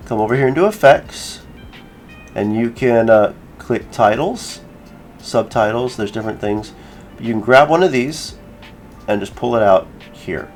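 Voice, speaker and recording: A man giving a casual software tutorial, informally thinking aloud as he works through each step. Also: mid-range voice. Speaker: tutorial creator.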